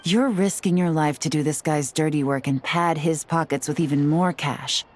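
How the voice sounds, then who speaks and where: Game role: calculating woman detective played by an actress, deep for a woman, unruffled and persuasive. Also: moderate pace, a little mellow, slight background noise, lightly acted. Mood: coolly pressuring. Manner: composed, sly, persuasive. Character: cool, shrewd, calculating female investigator. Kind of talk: video game voice-over.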